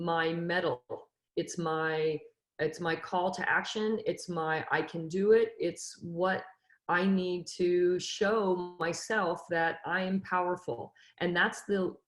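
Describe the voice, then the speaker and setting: Earnest low-voiced woman on a video call. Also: reverberant. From Northern California. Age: 50s. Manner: earnest, motivational, frequent fillers and restarts. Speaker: football coach, webinar panelist. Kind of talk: casual panel discussion.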